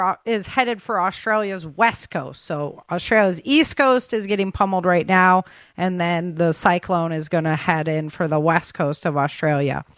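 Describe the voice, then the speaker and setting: A woman's voice: mid-range voice, a bit bright, clear, resonant tone, no room sound, clean studio sound. A woman hosting a podcast in a chatty, informal way, moving between news rundown and casual talk. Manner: chatty, informal, addresses listeners as guys. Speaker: podcast host.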